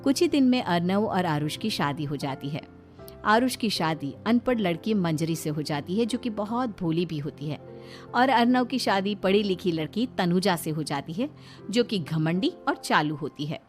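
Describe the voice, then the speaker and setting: A woman's voice: fairly low pitch, animated, moderate pace, faint music behind. A woman narrating a scripted cartoon story as its storyteller.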